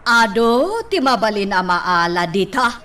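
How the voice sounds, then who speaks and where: A woman's voice: mid-range voice, sing-song, unhurried, a bit bright. A woman playing a scheming, haughty mother in an Ilocano radio drama. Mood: calculating. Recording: slightly distant mic, faint music.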